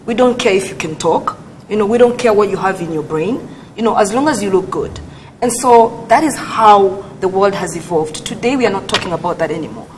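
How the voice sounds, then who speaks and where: A woman's voice: mid-range voice, brisk, slight background noise, low-quality recording. A young woman speaking earnestly as a guest on a talk panel, arguing a point. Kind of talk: panel discussion.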